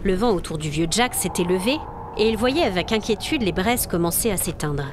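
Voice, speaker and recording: A woman's voice: medium pitch, lively intonation, a little fast, background noise. Woman narrating a spooky folk tale. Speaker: storyteller, narrator.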